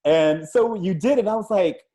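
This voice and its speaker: A man's voice: sits high, moderate pace, warm tone. A man hosting a casual interview, effusive and encouraging, often repeating his affirmations. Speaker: interviewer and comics creator.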